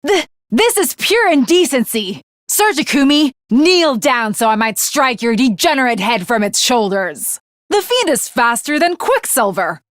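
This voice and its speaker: A young samurai woman from an anime game, with a very high voice that moves through dramatic swings. She is earnest and haughty, choosing archaic, formal words and delivering them with theatrical weight, in an unhurried, closely dry sound. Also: sing-song, no room sound. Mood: scornful. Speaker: video game voice actress.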